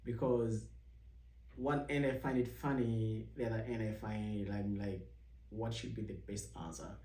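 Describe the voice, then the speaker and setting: Man from Uganda, mellow and roomy. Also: steady tone, a little slow, distant mic. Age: young adult. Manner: rambling with many restarts, acts out quoted questions in a higher voice. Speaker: vlog host. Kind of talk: casual personal storytelling. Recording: echoey room, voice set back from the mic.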